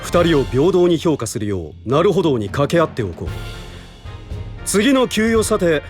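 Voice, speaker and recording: A man's voice: a little high, sing-song, a little mellow, faint music behind. A man playing an authoritative prosecutor in an audio drama, speaking formally with slyly knowing composure. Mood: formal, composed. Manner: formal, measured, lofty. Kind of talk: Japanese audio drama acting.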